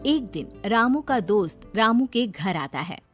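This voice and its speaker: A woman's voice: medium pitch, big pitch swings, unhurried, soft background music. A woman reading a scripted moral bedtime story as the narrator.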